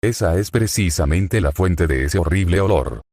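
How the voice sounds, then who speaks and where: Disdainful little spirit girl, voiced by a deep-voiced man, dry. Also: lively intonation, normal speed, mellow, dry recording. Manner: haughty, disdainful.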